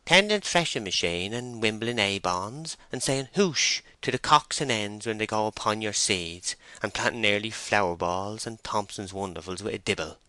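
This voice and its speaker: A man voicing an eager, shrill farm woman with a West Country accent, in an audiobook story. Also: expressive, normal speed, few pauses, pushed and bright, dry recording. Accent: English West Country. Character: shrill pair of eager young farm women.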